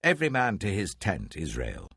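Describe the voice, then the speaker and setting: Man playing a defiant, husky rebel leader. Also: low voice, wildly swooping pitch, normal speed, fairly bright tone, no echo, clean studio sound, full character voice. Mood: defiant shout. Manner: declamatory. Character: defiant rebel troublemaker rallying men.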